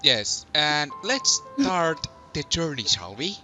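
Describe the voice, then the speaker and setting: A man hosts a gaming video with a very bright, forceful voice. His pitch moves around in a lively way as he talks haltingly and casually. Music plays softly behind him. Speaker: host and narrator of a fandub let's play. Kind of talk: casual gaming commentary with read narration.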